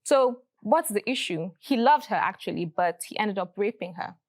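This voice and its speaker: Dry, lively woman host. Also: medium pitch, normal speed, no echo. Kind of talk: scripted show intro and interview.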